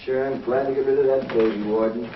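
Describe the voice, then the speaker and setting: A man's voice: mid-range voice, fairly even intonation, fast talker, slight background noise, lightly acted. Guy playing a stubborn protective space pilot, echoey room, muffled old tv audio. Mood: firm. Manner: firm, protective, earnest. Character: brave square-jawed male space hero pilot. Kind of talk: 1950s TV drama acting. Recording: roomy, distant, lo-fi 1950s TV audio.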